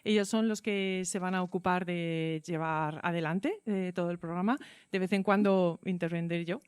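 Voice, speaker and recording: A woman's voice: on the low side, fairly even intonation, normal speed, darkish tone, clean tone, no room sound. A woman with an accent from Spain, speaking informally and a bit hesitantly as a teacher hosting a radio interview. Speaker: teacher and radio workshop host. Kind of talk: informal spoken introduction and interview.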